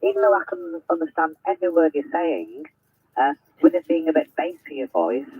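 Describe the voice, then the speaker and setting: Ham radio operator, 50s man, husky, rambling and hesitant, somewhat high. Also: wildly swooping pitch, fairly quick, dry recording, narrow, tinny audio. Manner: chatty, hesitant, full of restarts. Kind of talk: ham radio contact chat.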